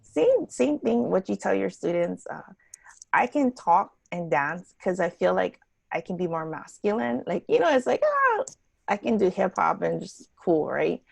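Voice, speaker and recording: A woman hosting a podcast interview, chatting casually with a raspy voice and an animated, halting way of talking. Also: mid-range voice, dry recording. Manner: animated, halting, restarts phrases. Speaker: podcast host.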